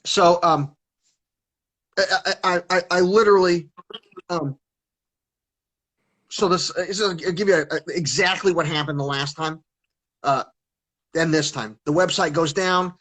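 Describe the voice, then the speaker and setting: A man's voice: fairly high pitch, measured pace, long pauses, fairly bright tone, rough recording. A man talking casually as an interview guest on a video call, stuttering and restarting his sentences. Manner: stutters, many restarts and repeated words.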